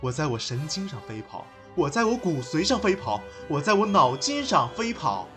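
一位男演员在广播喜剧中饰演夸张做作、慷慨激昂的年轻诗人老师。. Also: male voice, on the high side, big pitch swings, moderate pace, over music. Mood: frenzied declaiming. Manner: declamatory, swings into shouting recitation. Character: theatrical, overwrought poet teacher, melodramatic young man. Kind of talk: Chinese audio comedy drama acting.

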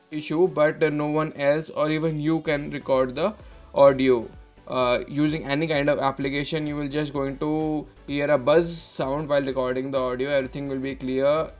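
A young man giving an unscripted product unboxing commentary as a tech vlogger, in a halting way with repeated phrases. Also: on the high side. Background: background music.

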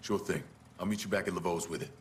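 A man's voice: low voice, fairly even intonation, fast, a little hiss or hum, lightly acted. A man playing a tough, cool young fighter in a video game, laconic and wry. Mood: calm, wry. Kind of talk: video game cutscene acting. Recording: loud music under the voice.

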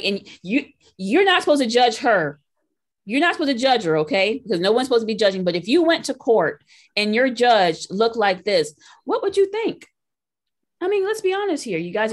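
A woman hosting a talk show call, moderating callers with direct, emphatic questions. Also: on the high side.

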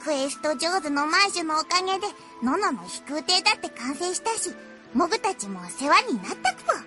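A woman voicing a small, cheerful, loyal moogle creature in a Japanese audio drama, squeaky and childlike, with a cute verbal tic ending sentences. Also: lively intonation, fairly quick, bright, forceful tone, faint music behind. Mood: earnest, encouraging.

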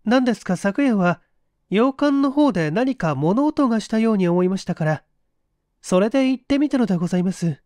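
A man's voice: high voice, animated, brisk, a little mellow, dry recording, studio quality, pitched above the performer's own voice. A man voicing a cold, reserved upper-class wife in an audiobook mystery, formal and guarded in manner. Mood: cool, guarded. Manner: cool, formal, guarded, few words.

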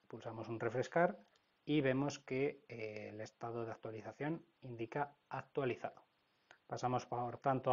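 A man with a Spanish accent from Spain walks through a software procedure step by step, often checking in with "¿vale?". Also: medium pitch, dull audio. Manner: explanatory, frequent "¿vale?" check-ins. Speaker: product trainer. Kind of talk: screen-recorded software tutorial.

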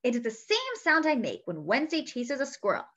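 Young female children's story reader: bright, high voice, sing-song and expressive, recorded on a muffled home webcam. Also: fairly quick. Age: young adult. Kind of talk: read-aloud of a picture book with asides to young listeners. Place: small indoor room at home. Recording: dull lo-fi webcam sound.

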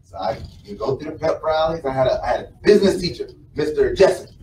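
An American man speaks to a live audience in an informal motivational style. His voice sits a little high, and he tells his story conversationally, repeating phrases for emphasis. The room echoes around him and there is faint noise behind his voice. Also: expressive, fast talker, a little mellow. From South Carolina, United States. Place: indoor conference room with a small stage. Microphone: camera mic from the audience, several meters away. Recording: roomy, distant mic, some background noise.

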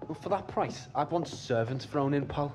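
A man's voice: fairly high pitch, lively intonation, normal speed, over music, natural voice. An actor playing a sceptical, needling male buyer in a video game cutscene. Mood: suspicious, mocking.

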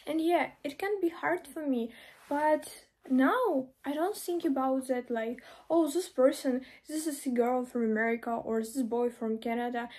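A young woman from Ukraine with a Ukrainian accent, giving casual advice in a halting, filler-heavy way in a small indoor room. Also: high voice, a little mellow. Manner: halting, with many fillers and restarts, gestures with her hands. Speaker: online mentor.

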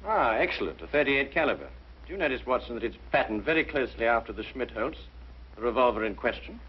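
An actor playing a brilliant, courteous detective, speaking precisely and deliberately in 1950s detective drama style. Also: male voice, medium pitch, animated, a little hiss or hum, lightly acted. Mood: probing. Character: brilliant, composed male detective, methodical and courteous. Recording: muffled 1950s broadcast, music underneath.

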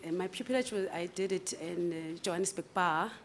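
A South African woman in her forties, answering interview questions formally and carefully, with frequent pauses and fillers, at a table microphone in a large conference room. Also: lowish voice. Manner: measured, hesitant with fillers. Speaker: candidate advocate interviewed for judgeship.

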